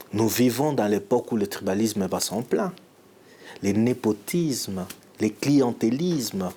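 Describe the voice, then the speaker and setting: A man from the Democratic Republic of the Congo, a provincial education official, speaking emphatically in measured phrases with rhetorical questions in a field interview. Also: on the low side, a little mellow, breathy edge.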